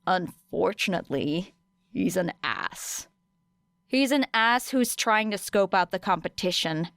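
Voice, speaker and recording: A woman voicing a grumpy, sardonic teenage boy student with deadpan, grumbling delivery in an audiobook. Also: mid-range voice, unhurried, dry recording, studio quality. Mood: sullen. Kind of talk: audiobook character dialogue.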